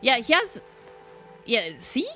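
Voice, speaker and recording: A woman's voice: on the high side, wildly swooping pitch, slow, sharp tone, faint music behind. A woman streamer chatting casually, rambling and thinking aloud as she reacts to games.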